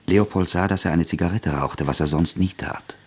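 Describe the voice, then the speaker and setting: A man narrating a radio play in a measured, steady storytelling manner. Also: deep voice, a little hiss or hum.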